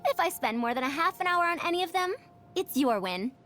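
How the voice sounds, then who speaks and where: A woman's voice: very high voice, big pitch swings, a little fast, a bit bright, soft background music, exaggerated voice. A woman voicing a proud, fussy century-old scholar with a youthful girlish voice, pompous and lecturing, for a video game. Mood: boastful, teasing. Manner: pompous, lecturing, old-fashioned elder phrasing. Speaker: game character voice. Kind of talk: animated video game voice lines.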